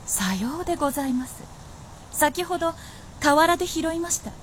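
High-voiced, sly, bold princess. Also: female voice, animated, moderate pace, a little hiss or hum. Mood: deferential, sly. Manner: poised, archaic speech. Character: sly, bold princess posing as townswoman, feudal Japan. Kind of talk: period radio drama acting.

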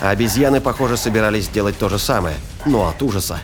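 A man's voice: on the low side, fast talker. A man narrating a scripted action story as an audio drama narrator. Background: music and sound effects.

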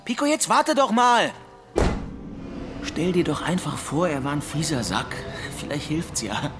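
Cocky, high-voiced young slacker. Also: male voice, animated, a little slow, over loud music. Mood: coaxing. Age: young adult. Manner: flippant, swaggering. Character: Jussi, cocky young male dropout and slacker. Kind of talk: naturalistic German radio drama acting.

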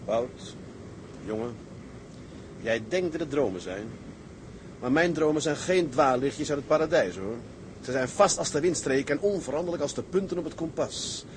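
Actor as resolute seafaring captain, faint background noise. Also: male voice, fairly high pitch, lively intonation, moderate pace, lightly acted. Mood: fervent. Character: resolute, dreamy male tug captain set on owning a ship. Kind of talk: dramatised seafaring story, scripted acting.

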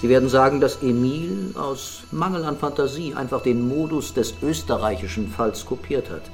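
A man's voice: mid-range voice, moderate pace, a little mellow, soft background music, lightly acted. An actor playing a brooding, sceptical male police chief, terse and probing, in a crime radio drama.

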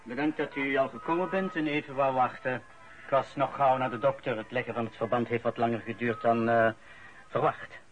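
Actor as a probing Flemish police inspector, muffled recording. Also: male voice, medium pitch, steady tone, brisk, a little hiss or hum, lightly acted. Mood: polite, hesitant. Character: male police inspector, probing, wounded, politely insistent. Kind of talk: radio play acting. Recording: dull lo-fi radio play recording.

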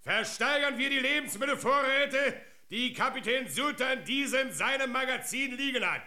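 An actor playing a brash, domineering male gold digger in a radio drama, declaiming loudly like a bullying auctioneer. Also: very high voice, normal speed, full character voice. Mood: bossy, rousing.

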